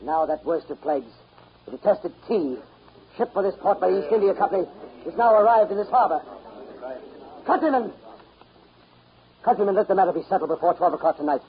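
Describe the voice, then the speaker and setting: An actor playing a fiery colonial American patriot rallying a crowd with declamatory, impassioned oratory in a 1930s radio drama. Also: male voice, sits high, expressive, brisk, pauses often. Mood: urgent, rallying. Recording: muffled, noisy 1930s radio recording.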